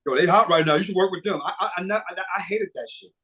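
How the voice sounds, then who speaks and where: A middle-aged man, a singer and producer, chatting casually as an interview guest, animated and swearing freely with many fillers and restarts. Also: sits high, fast, breathy edge.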